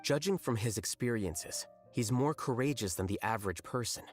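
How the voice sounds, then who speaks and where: An actor playing an aloof, blunt young male scholar in a video game, deadpan, measured and articulate. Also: on the low side. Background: music.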